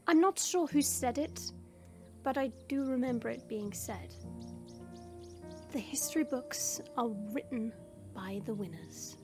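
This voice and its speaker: Haughty dragon princess, played by a woman: high voice, formal and scornful of humans, audio roleplay with music underneath. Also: lightly acted.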